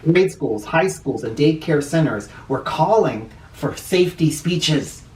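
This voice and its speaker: Male children's storybook reader, highly animated and playful, recorded roomy and a bit distant. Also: measured pace. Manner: expressive, playful, acts out dialogue and exclamations. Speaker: picture book reader for children. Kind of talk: animated storybook read-aloud. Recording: roomy echo, distant microphone.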